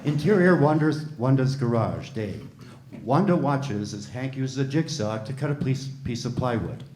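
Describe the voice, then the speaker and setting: A man reading script stage directions aloud in a plain, measured way. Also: mid-range voice, animated, darkish tone, low-quality recording.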